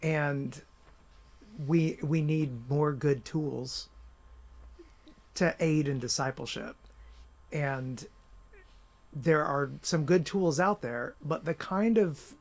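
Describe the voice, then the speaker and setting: Guest author, man, slightly high voice, chatty and animated. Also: slow, pauses often. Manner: animated storyteller, many restarts and "you know". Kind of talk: casual conversational interview.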